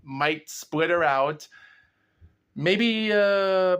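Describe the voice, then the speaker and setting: A man's voice: high-pitched, animated, extremely slow, fairly bright tone, clean tone, lo-fi sound. A young man hosts his own paranormal vlog, sounding groggy and under the weather. He rambles in long run-on stretches without stopping for breath, stumbling and restarting now and then. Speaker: host of a paranormal exploration series. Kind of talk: rambling solo vlog recap.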